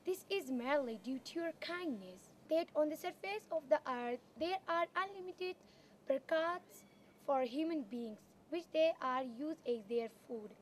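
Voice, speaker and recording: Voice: sits high, expressive, measured pace, slight background noise. A student playing a devout religious leader who gives a formal, declamatory harvest blessing in a school drama. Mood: solemn, reverent. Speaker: student performer. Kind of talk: recited prayer and speech in a school drama. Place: outdoors in a garden, camera at a distance.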